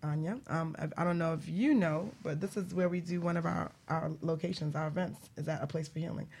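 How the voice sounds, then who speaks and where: A woman's voice: sits low, steady tone, a little fast, creaky, darkish tone, husky. A woman hosting a casual radio show, chatting in an informal, effusive way. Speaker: radio show host and business coach.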